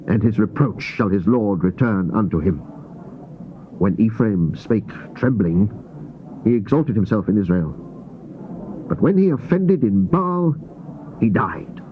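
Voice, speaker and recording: A man gives a grave, theatrical scripture reading, declaiming with deliberate phrasing and emphatic pauses. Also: fairly low pitch. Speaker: scripture narrator. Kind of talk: dramatized Bible reading, solemn and theatrical. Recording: dull, noisy old cassette tape transfer.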